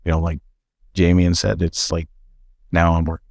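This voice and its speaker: A man's voice: low voice, monotone, normal speed, gravelly, darkish tone, no room sound. A middle-aged man talking reflectively in a casual podcast conversation, trailing off and restarting his sentences. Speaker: podcast co-host.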